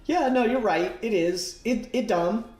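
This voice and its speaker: Male podcast co-host, higher-pitched voice, animated rambling fan talk, casual, echoey home recording. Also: measured pace. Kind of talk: casual comic book discussion.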